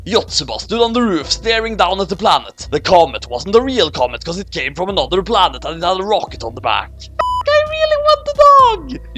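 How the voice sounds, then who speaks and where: Animated man reading a comic story. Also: a little high. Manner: exaggerated, dramatic. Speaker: narrator. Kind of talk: theatrical comedic reading of fan fiction.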